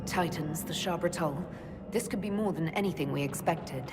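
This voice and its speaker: Woman as a low-voiced, resolute fantasy adventurer. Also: normal speed, close to an everyday voice. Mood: dawning realization. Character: resolute female fantasy adventurer, practical and focused. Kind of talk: video game cutscene acting. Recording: loud music behind the voice. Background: loud music.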